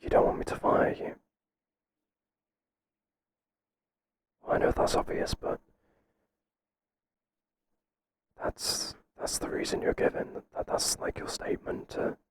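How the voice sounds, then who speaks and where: A man playing a stern but flustered boss in an ASMR roleplay, speaking in a halting, breathy whisper. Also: natural voice. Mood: probing, hesitant. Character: stern, flustered male boss resisting an employee's advances. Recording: clearly noisy, hissy background.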